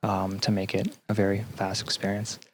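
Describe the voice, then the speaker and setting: Slightly low-voiced man explaining, halting and thoughtful. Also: completely flat delivery. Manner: hesitant, halting, thoughtful phrasing. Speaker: podcast guest, game developer and researcher. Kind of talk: casual interview explanation.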